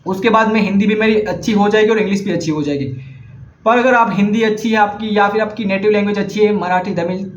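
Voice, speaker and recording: A man's voice: high voice, fast talker, a little hiss or hum. A young man from Gujarat with a Gujarati accent, whose first language is Gujarati, casually recommending books in a vlog, hesitant and earnest, often restarting his sentences. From Gujarat, India. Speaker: vlogger. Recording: echoey, slightly muffled room recording.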